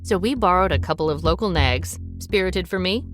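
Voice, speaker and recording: A headstrong, wry young woman, voiced by an actress narrating a radio drama. Her delivery is brisk and lively in pitch, with faint music underneath. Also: lightly acted. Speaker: narrator and boss.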